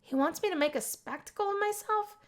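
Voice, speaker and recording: Woman as a high-voiced shy college girl. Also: a little fast. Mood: hesitant, embarrassed. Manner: hesitant, self-conscious. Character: shy, hesitant, bookish young female student. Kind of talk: visual novel character voicing.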